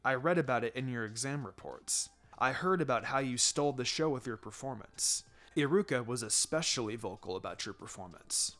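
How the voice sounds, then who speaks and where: A man voicing a calm, wry ninja teacher in a story reading, measured, firm but encouraging. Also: mid-range voice, pitched below the performer's own voice. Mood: firm, composed. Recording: music behind the voice.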